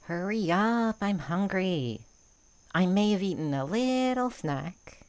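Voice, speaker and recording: A playful, affectionate young woman voiced by an actress in visual novel style. Her voice has an average pitch. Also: lively intonation, slow pace. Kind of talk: visual novel fan dub acting.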